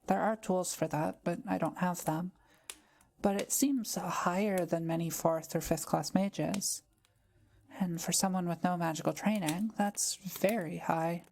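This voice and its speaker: A woman playing a kind, patient witch in an intimate audio roleplay, explaining things gently and carefully. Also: on the low side, steady tone, measured pace, gravelly, slight background noise. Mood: calm, impressed. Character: kind, quiet-living adult witch, patient and reassuring.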